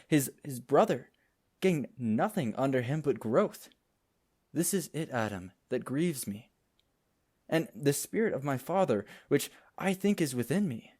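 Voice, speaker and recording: Proud young nobleman, youngest brother, male actor, impassioned and defiant, classical radio drama, mid pitch. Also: a little mellow. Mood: brooding, aggrieved. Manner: declamatory, impassioned. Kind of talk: Shakespearean radio play acting.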